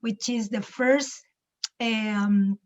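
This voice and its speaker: Mexican-accented woman, slightly high voice. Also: steady tone, very slow, a little mellow, muffled recording. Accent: Mexican Spanish. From Mexico, Veracruz coast. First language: Spanish. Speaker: conference guest. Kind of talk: casual chat on a video call. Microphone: video call.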